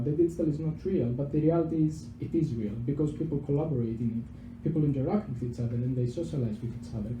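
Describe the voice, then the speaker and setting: A man's voice: a little high, dark tone, slight background noise. A young man from Greece with a Greek accent, giving a casual public science talk to a live audience in a pub. He is chatty and self-deprecating, using lots of fillers and repeated words. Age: young adult. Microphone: handheld microphone. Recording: echoey venue with faint background ambience.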